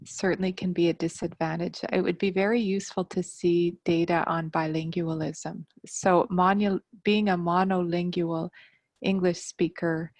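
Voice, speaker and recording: A woman academic making careful, measured comments in an online workshop discussion over a video call. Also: lowish voice, gravelly, darkish tone, dry recording. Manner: measured, precise, qualifying her points. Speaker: workshop participant, university researcher.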